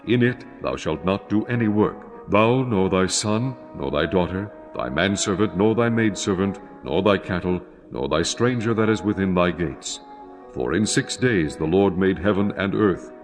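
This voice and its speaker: A man with a fairly low voice narrates scripture solemnly, in measured phrases. Music plays clearly behind his dramatic, scripted reading. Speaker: audio Bible narrator.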